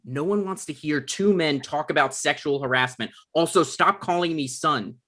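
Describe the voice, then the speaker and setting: A man playing a deadpan, argumentative adult son and aspiring writer in a comedy script read. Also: fairly high pitch, moderate pace. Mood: exasperated.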